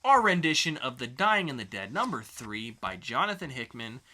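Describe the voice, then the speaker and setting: A man presents a web show segment. His delivery is casual and jokey, with very lively intonation and a bright, forward tone. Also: mid-range voice, normal speed. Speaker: segment host.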